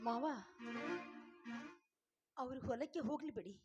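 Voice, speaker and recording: A woman playing a gentle, dutiful young wife who speaks slowly in a high voice. The sound is dull and lo-fi, with faint music behind. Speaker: daughter-in-law.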